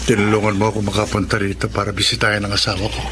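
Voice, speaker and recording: An actor playing a wounded, bitter husband in a Filipino radio drama, with an emotional, melodramatic delivery. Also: male voice, on the low side, monotone, racing, background noise, lightly acted. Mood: hurt, subdued.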